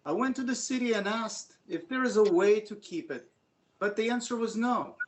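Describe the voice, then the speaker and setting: A middle-aged man gives an earnest, somewhat halting public comment at a council meeting. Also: sits high, poor audio. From Ukraine. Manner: earnest, a bit halting, with frequent "uh" fillers. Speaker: resident giving public comment.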